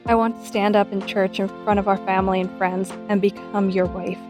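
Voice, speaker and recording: A woman's voice: mid-range voice, level tone, a little fast, music in the background, lightly acted. A woman playing a devoted, eager young sweetheart in a romantic audio drama, sincere and affectionate. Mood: joyful, tender.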